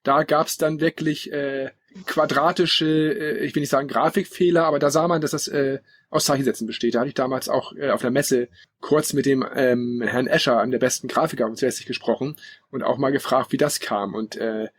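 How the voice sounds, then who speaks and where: A man's voice: medium pitch, fairly even intonation, a little fast, no echo. A man hosting a casual gaming podcast, talking in a chatty, rambling and opinionated way. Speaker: podcast host.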